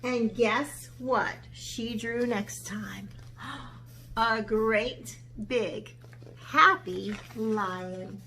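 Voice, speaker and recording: High, bright-voiced woman teaching children. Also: drawn-out words. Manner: encouraging, expressive, asks checking questions. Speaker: children's art teacher and story reader. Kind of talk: animated read-aloud and step-by-step instruction for children.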